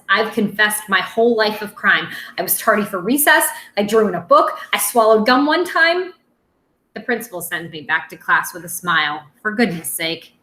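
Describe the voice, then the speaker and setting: A woman reads aloud to children in a roomy, echoey space. Her voice is bright and very animated, rising and dipping with playful drama. She sounds like a schoolteacher performing for her young students. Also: medium pitch. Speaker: teacher reading aloud to students. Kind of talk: expressive children's read-aloud.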